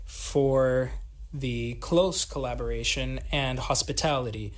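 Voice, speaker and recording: A man interpreting a formal speech live into English, phrasing things carefully and haltingly. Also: medium pitch.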